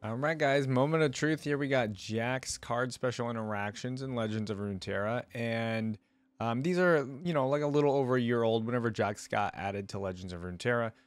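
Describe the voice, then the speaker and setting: A man's voice: mid-range voice, a little fast, no room sound. A man hosting a reaction video, reading lore aloud and thinking through it in casual commentary with rhetorical check-ins. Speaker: reaction channel host.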